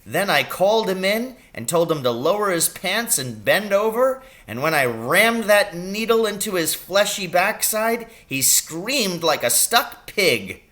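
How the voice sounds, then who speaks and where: A man's voice: sits high, unhurried, hardly any pauses, voice pushed up, full character voice. A man reading an elderly country doctor who tells a story with relish. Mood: gleeful. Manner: relishing, lively telling. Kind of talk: audiobook character reading.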